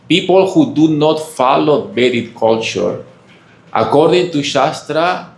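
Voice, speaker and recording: Gravelly peruvian-accented man giving a slow halting spiritual lecture, uh's and eh's, asks the crowd stuff, roomy echo. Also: medium pitch. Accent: Peruvian Spanish. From Peru. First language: Spanish. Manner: halting, with many fillers and questions to the audience. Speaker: monk giving a talk. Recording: echoey room.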